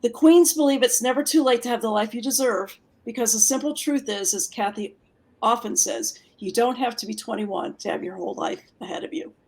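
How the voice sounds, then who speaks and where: High-voiced woman introducing guest speakers. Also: brisk, a little mellow. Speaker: presenter introducing keynote speakers. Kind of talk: prepared introduction, partly read aloud.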